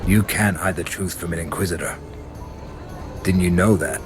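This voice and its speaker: A low-voiced, commanding male inquisitor from a grim medieval game acted by a man. He speaks in a measured, authoritative way with menace in it. Prominent music plays behind him. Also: close to an everyday voice. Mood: cold, menacing. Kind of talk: video game cutscene acting.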